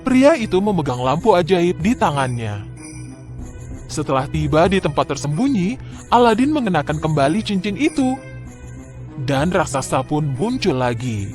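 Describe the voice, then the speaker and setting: A man with a high, animated voice tells a children's fairy tale. His scripted narration is expressive and deliberate, with soft music behind it. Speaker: storyteller.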